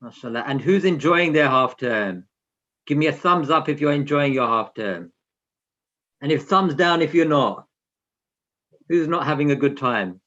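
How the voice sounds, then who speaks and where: A man with a mid-pitched voice hosts an online session for children. He speaks informally, addressing attendees directly with instructions and questions. The video-call sound is a little dull and lo-fi. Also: fairly bright tone.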